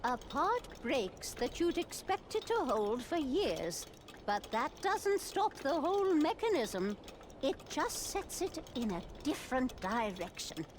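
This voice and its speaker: A woman voicing a slightly deaf nun clockmaker in a video game, distracted, gentle and apologetic as she works. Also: high voice, sing-song, slow pace, a little hiss or hum, full character voice. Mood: puzzled, chatty. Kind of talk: video game character dialogue.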